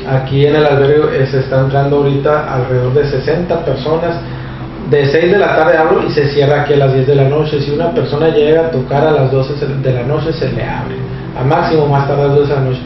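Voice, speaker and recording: A man explains his work in a hesitant, halting way, full of fillers and restarts. His delivery stays flat and even. He sounds far from the camera mic in a small echoey office, with dull audio and steady background noise. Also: a little high. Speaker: shelter administrator. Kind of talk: casual interview explanation. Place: small office with a computer, indoors.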